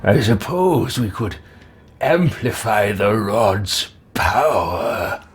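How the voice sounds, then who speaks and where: A man's voice: fairly low pitch, drawn-out words, voice pushed down. A man voicing a dry, impatient, bossy mage in a fantasy roleplay, sardonic and curt.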